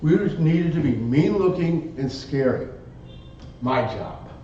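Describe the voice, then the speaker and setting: A man telling a tall tale live as a contest speech, theatrically, in short halting phrases. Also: lively intonation, mellow. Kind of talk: live dramatic storytelling speech. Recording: very echoey room, distant muffled mic, rumble.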